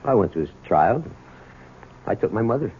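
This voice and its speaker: An actor playing the bitter, unyielding son of an imprisoned robber in an old-time radio drama. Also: male voice, on the low side, very animated, moderate pace, phone-quality sound. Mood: reluctant, strained. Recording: thin, noisy old radio broadcast.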